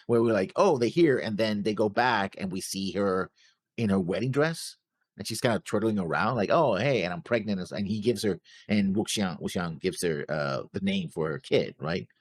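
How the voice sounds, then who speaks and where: A man with a fairly low voice that swings widely in pitch. He co-hosts in casual banter, rambling through halting explanations, recorded close and dry. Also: lively intonation, no room sound. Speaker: reaction show co-host.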